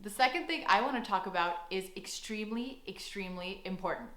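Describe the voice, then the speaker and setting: A woman's voice: mid-range voice, a little fast, background noise. A woman giving friendly, earnest advice in a scripted vlog. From United States. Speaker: vlogger. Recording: echoey room with background music.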